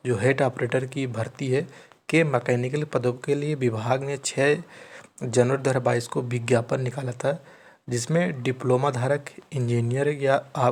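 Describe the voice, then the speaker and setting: A man's voice: medium pitch, a little mellow. Man explaining news informally. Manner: repeatedly rephrases and checks understanding, teacherly. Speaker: channel host explaining recruitment news. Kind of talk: informal explainer, reading news aloud and commenting.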